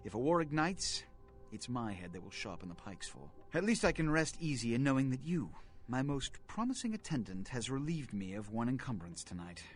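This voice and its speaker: A pompous vampire prince played by a male actor holds forth as in a dramatic video game. His delivery is grandiloquent and very animated in pitch, full of self-importance and indignation. Music plays behind him. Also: brisk, hardly any pauses, close to an everyday voice. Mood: weary, imperious. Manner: grandiloquent, formal, melodramatic.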